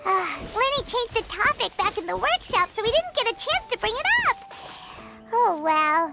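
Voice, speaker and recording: A woman's voice: very high voice, very animated, moderate pace, gravelly, full character voice. A woman voicing a small, chirpy female fairy companion who talks about herself by name, in a video game. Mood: eager. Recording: game audio with loud music behind.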